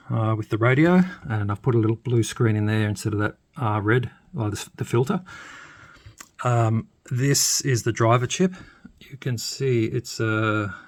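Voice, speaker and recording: A man giving a casual hands-on electronics demonstration, explaining informally and haltingly with frequent pauses. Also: lowish voice, dry recording. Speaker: electronics hobbyist presenter.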